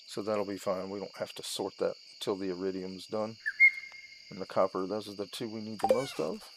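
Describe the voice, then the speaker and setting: A woman giving casual let's-play commentary as a gaming channel host, thinking aloud in an unhurried way. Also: very deep voice, very noisy. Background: game music and sound effects.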